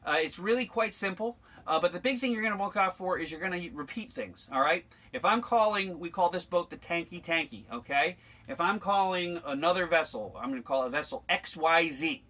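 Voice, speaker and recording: A man's voice: high-pitched, lively intonation, a little fast, few pauses, pushed and bright. An American man giving an informal, plain-spoken instructional demonstration as a sailing instructor, emphatic and repeating his points.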